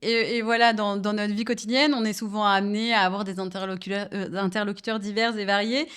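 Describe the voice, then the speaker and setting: A woman's voice: mid-range voice, steady tone, fast talker, a bit bright, smooth. A woman from France chatting casually and reflectively, asking questions and using fillers. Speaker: co-host and interviewer. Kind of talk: casual conversation.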